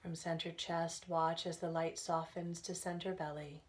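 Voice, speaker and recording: A woman leading a guided relaxation as a meditation instructor, speaking gently and soothingly in unhurried phrases with pauses. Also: sits low, level tone.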